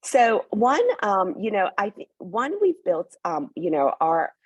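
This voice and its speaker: A woman's voice: medium pitch, big pitch swings, a little slow, husky, no room sound, highs cut off. A woman raised in New York, talking eagerly about a hotel in a promotional interview, with many fillers. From born in Hong Kong, raised in New York. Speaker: hotel representative.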